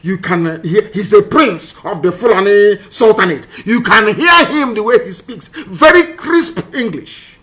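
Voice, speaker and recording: A man's voice: high-pitched, expressive, a little slow, few pauses, pushed and bright. A man with a Nigerian accent delivering an impassioned political monologue, emphatic and scornful, piling up rhetorical questions and repeating phrases. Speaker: political broadcaster. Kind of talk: impassioned political monologue commenting on archive audio.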